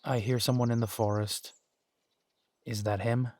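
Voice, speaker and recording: A man playing a gentle, dry-humored werewolf private eye and younger brother in an immersive roleplay audio drama, speaking softly and wryly. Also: medium pitch, flat delivery, unhurried, no echo, close to an everyday voice.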